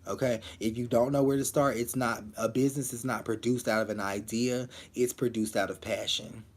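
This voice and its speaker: A man giving quick live tarot readings to viewers, talking bluntly and repeating his points with many fillers. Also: medium pitch, steady tone. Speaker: tarot reader.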